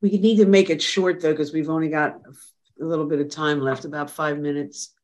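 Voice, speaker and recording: Brief: webinar moderator, mid pitch, brisk and time-conscious, video call. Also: fairly even intonation.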